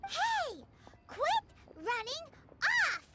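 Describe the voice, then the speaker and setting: A woman voicing a tiny, excitable, childlike fairy companion in a video game, exclaiming and chattering about herself in the third person. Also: very high-pitched, very slow, music in the background, heavily acted. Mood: excited.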